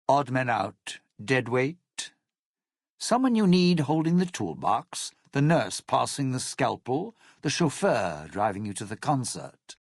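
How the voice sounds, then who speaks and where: An actor playing a sly, bitter, scheming lounge singer in a video game, with a raspy, gravelly voice and a wry, smooth-talking, theatrical manner. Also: male voice, mid-range voice, dry recording, studio quality, full character voice. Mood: bitter, sardonic. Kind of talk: video game character dialogue.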